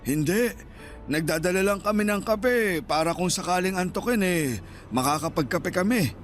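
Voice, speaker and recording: A small, thin old Filipino street vendor in a radio drama. His voice is notably high, and he speaks in a shy, plain, homely way over faint music. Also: animated, moderate pace. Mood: kindly, casual.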